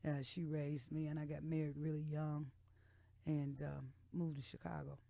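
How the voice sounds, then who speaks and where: A woman's voice: low-pitched, little pitch movement, normal speed, gravelly, mellow, no room sound. Frank American woman in her sixties, close sound. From Texas and Oklahoma, United States. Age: 60s. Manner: frank, outspoken, emphatic. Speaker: blues singer, radio interview guest. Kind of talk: candid conversational interview. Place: radio studio. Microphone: studio microphone.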